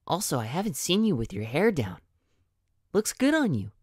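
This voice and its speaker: Woman voicing a low-pitched, earnest young male hedgehog. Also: big pitch swings, moderate pace, darkish tone, slightly rough, no room sound, clean studio sound, heavily acted. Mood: gentle, sleepy. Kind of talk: cartoon comic dub acting.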